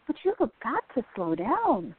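A sensible, affectionate young woman student acted by a woman with a fairly high, animated voice. She gently chides in radio play style. The recording is thin, as if down a phone. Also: slow, slightly rough, heavily acted. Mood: concerned. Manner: gently chiding. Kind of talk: radio drama acting. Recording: dry, thin phone-like band.

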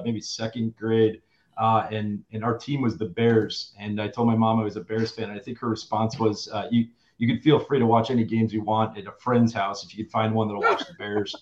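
A man in his early forties from Wisconsin, chatting casually as an interview guest, upbeat with lots of filler words. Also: fairly low pitch. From Wisconsin, USA. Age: early 40s. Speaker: guest, fantasy football player. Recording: roomy, dull lo-fi sound.

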